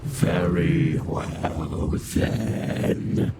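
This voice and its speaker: A man playing a huge, coldly mocking reptilian demon in a horror audio drama, with a gravelly growl and slow, condescending delivery. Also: sits low, steady tone, heavy background noise. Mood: ominous threat. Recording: dramatic music behind the voice.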